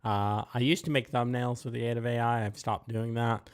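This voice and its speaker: A man's voice: mid-range voice, level tone, clean tone. Opinionated man co-hosting a talk show. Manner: blunt, opinionated, quick asides. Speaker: podcast co-host. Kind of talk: casual political talk show chat.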